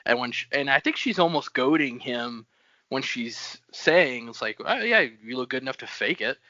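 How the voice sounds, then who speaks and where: Bright-voiced man in casual chat. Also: medium pitch, expressive, moderate pace, no room sound, highs cut off. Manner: chatty, restarts sentences often. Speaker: podcast co-host. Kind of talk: casual book discussion.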